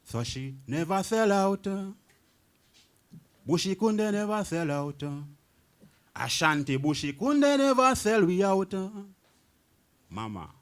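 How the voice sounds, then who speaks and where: A man's voice: high-pitched, sing-song, slow, long pauses. A man, a poet guest on a radio talk show, reciting his verse in a rhythmic chant and chatting casually. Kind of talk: reciting poetry and casual chat.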